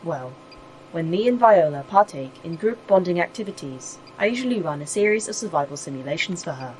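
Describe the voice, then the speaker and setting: An actress playing a polite, literal-minded artificial intelligence in a sci-fi audio drama, formal and deadpan. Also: female voice, fairly low pitch, a little fast, a little hiss or hum, natural voice, poor audio. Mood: formal, earnest. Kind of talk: audio fiction acting.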